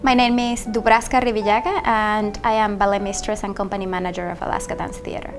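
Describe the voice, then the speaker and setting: A woman from Caracas with a Venezuelan accent, speaking English in a measured, explanatory way with a quietly sorrowful tone. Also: medium pitch, level tone. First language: Spanish. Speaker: ballet mistress and company manager. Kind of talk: personal testimony interview. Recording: music under the voice.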